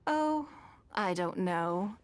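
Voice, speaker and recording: A woman playing a shrewd, wealthy, coolly commanding businesswoman in a video game, speaking in measured, eloquent, formal phrases. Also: medium pitch, lively intonation, a little hiss or hum. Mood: knowing, pointed.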